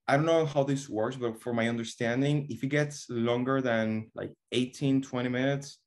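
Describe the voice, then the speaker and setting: A man's voice: medium pitch, steady tone, moderate pace. Young man. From Venezuela. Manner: earnest, hesitant restarts, many fillers. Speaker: guest, online fitness coach. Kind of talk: casual video-call interview. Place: small room at home. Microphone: webcam or laptop mic on a video call.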